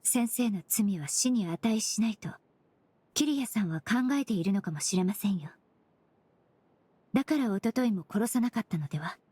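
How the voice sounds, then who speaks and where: A woman's voice: mid-range voice, animated, normal speed, dark tone, husky, dry recording. A woman playing a composed young female perfumer who reasons politely and formally, in anime game acting. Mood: calm, thoughtful. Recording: background music under the voice.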